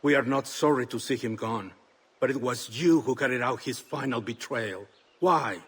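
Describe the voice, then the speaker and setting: A man playing the stern, solemn leader of an assassin order in a video game, formal and measured. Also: mid-range voice, fairly bright tone, breathy edge. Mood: stern, accusing. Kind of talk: dramatic video game acting.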